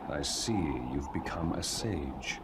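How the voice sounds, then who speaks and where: A man voicing a calm, cold, godlike villain in an anime video game, speaking solemnly and deliberately. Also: extremely low pitch, heavy background noise, heavily acted. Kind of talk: English anime game voice acting. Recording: loud music behind the voice.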